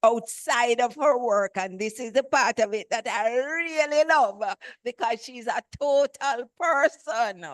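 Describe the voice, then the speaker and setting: A woman's voice: fairly high pitch, unhurried, few pauses, dry recording. A woman host introducing a guest speaker with enthusiasm, and stopping now and then to correct herself. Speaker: event host.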